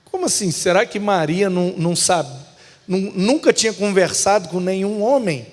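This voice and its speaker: Pastor-teacher, explanatory, asks and answers his own questions, slightly high voice, reverberant room. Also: normal speed. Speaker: pastor teaching a hermeneutics class. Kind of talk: bible class lecture.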